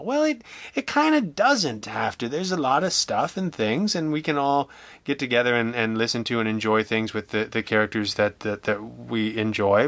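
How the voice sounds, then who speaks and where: A man's voice: medium pitch, a bit bright, dry recording. A middle-aged man talking casually as a podcast guest, hesitant and rambling as he works through his thoughts. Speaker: audio drama creator, interview guest.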